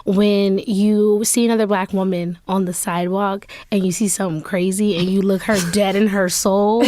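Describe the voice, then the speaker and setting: Woman telling casual, acted-out anecdotes, medium pitch. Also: fairly even intonation, moderate pace, dark tone, slight background noise. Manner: acts out quoted dialogue. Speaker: panel guest. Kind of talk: casual animated anecdotal chat.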